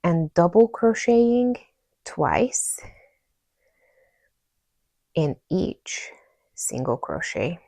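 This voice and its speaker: A woman's voice: lowish voice, very animated, drawn-out words, creaky, pauses often, darkish tone, no echo. A woman with a Hungarian accent hosting a crochet tutorial, explaining each step patiently and counting stitches aloud.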